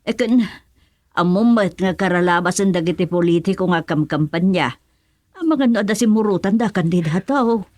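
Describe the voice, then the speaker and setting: A woman's voice: on the low side, moderate pace, a little mellow, dry recording, natural voice. An actress playing a practical, mildly exasperated village mother in a radio serial, with a Filipino accent and homely, exclamatory speech.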